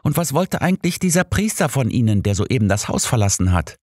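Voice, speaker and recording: A man's voice: mid-range voice, sing-song, brisk, a little mellow, no room sound, studio quality, voice pushed up. A man voicing a firm, mildly annoyed woman in an audiobook, with a bossy manner. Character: firm, exasperated woman scolding two squabblers. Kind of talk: audiobook character dialogue.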